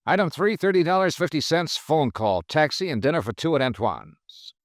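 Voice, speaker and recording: An actor playing a persistent insurance investigator who narrates a 1950s American radio drama in a wry, dry way. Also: male voice, fairly high pitch, lively intonation, normal speed, no room sound. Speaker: lead and narrator.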